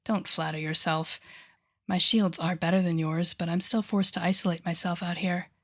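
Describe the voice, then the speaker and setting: A woman performs a telepathic villainess for an audio roleplay. Her voice sits a little low for a woman. She is haughty and sardonic, teasing the listener with sighs and deliberate pauses, a softer heart hidden under the scorn. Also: fairly even intonation. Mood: teasing, wistful. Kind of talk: intimate audio roleplay acting addressed to the listener.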